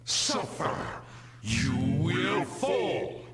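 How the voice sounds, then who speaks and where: An actor playing a menacing, gloating soldier possessed by a monster in an anime-style video game dub. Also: male voice, medium pitch, huge pitch swings, very slow, loud music behind the voice, heavily acted.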